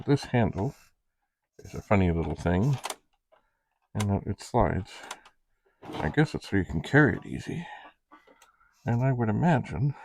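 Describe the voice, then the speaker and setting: A man hosts a casual unboxing video, rambling as he thinks aloud. His voice is low and swings in a lively way from phrase to phrase. A faint layer of noise sits behind him. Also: creaky. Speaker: video host.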